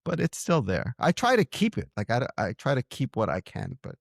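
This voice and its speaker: A man's voice: medium pitch, wildly swooping pitch, moderate pace, vocal fry, husky, no echo, studio quality. A Canadian man hosting a podcast interview, friendly and appreciative in casual chat. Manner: friendly, appreciative, hesitant restarts. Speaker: podcast host.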